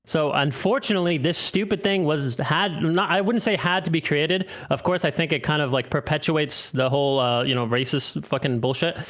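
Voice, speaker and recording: Somewhat high-pitched man, blunt and crude, halting. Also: fairly bright tone. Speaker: debate participant. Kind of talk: casual debate on stream.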